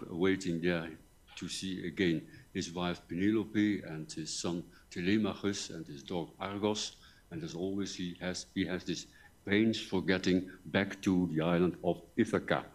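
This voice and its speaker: Older man with a low, soft voice. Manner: halting, careful, with repeated words and pauses. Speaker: visiting academic lecturer. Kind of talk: conference lecture in English. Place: university lecture hall. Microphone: table microphone, seated.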